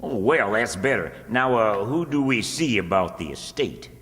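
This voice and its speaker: A man's voice: lowish voice, animated, moderate pace, a bit bright. A man playing an exasperated, money-minded country bill collector with a rural Southern accent, in old-time radio comedy style. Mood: businesslike. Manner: folksy, flustered, insistent. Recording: echoey hall with long reverb.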